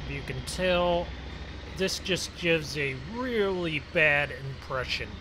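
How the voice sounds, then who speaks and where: A man's voice: on the high side, slow pace. A man hosting a gaming video with casual, folksy, chatty roleplay commentary about farming. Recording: clear vehicle engine noise behind. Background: game vehicle engine sounds.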